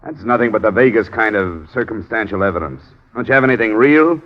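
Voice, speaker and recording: A man's voice: on the low side, animated, a little fast, natural voice. A male actor playing a tough, persistent insurance investigator with an American accent, clipped and questioning, in an old radio detective drama. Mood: challenging. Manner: clipped, questioning, hard-boiled. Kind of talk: 1940s-50s radio detective acting and narration. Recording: dull vintage radio recording with music.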